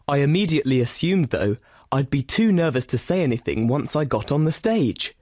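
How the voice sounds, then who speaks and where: A man reading a steady, enthusiastic scripted monologue for a listening exam. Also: fairly high pitch, moderate pace, no echo, clean studio sound. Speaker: exam recording speaker.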